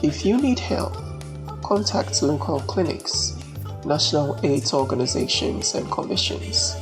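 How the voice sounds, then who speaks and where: Low-voiced woman storyteller, widely swooping pitch. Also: very animated, over music. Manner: emphatic, measured phrasing with dramatic pauses. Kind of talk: dramatic folktale narration, slow and deliberate. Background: ambient marimba, xylophone and low nature sounds.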